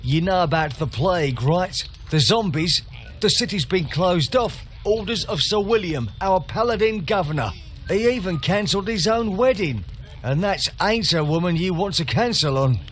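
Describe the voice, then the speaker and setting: A junior guard at a refugee camp in a fantasy game. He is chatty and deferential, with a folksy way of explaining, pitched a little high over faint background noise. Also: animated, normal speed. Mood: gossipy, deferential. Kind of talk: fantasy video game character acting.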